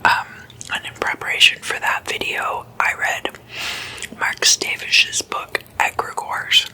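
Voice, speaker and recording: A person whispering in a breathy, unvoiced voice, chatting and explaining as an ASMR host in a deliberate manner. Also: sharp tone, poor audio. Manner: slow, deliberate, with drawn-out pauses.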